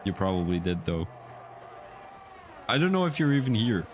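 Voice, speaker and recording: A man's voice: lowish voice, normal speed. Chatty young man commentating a sim race. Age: young adult. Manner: chatty and rambling, turns excited when calling incidents. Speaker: sim racing league commentator. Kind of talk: casual livestream race commentary.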